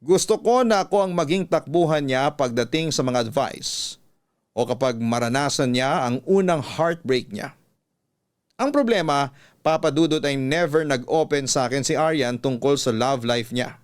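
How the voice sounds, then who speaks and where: A man with a Filipino accent reading a listener's letter as a scripted, measured and earnest radio story. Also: medium pitch, expressive.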